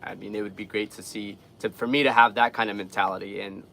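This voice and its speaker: A young man talks casually as a live streamer, in an earnest and rambling way. His voice sits a little low with a gravelly fry, and there is faint noise behind him. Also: level tone, quick pace, a bit bright. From Guatemala. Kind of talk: casual live chat with viewers.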